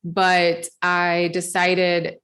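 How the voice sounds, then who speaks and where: A woman host with a lowish, nasal voice, her nose stuffy from a recent cold. She talks in an easy, chatty way, adding personal asides as she asks her guest questions. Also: monotone, extremely slow, fairly bright tone. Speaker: podcast host. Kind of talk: casual interview chat.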